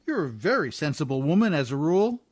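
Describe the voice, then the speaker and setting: A man's voice: fairly high pitch, very animated, fast, darkish tone, husky. A man playing a scrappy, good-hearted riverboat captain in an old-time radio drama, with folksy, ungrammatical working-man speech. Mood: firm, coaxing.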